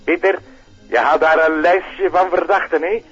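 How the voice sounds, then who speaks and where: An actor playing an inquisitive, discreet Flemish police colleague, colloquial and gently insistent, in naturalistic radio drama. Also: male voice, a little high, steady tone, normal speed, slightly rough. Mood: intrigued, discreet. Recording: dull radio drama with faint music.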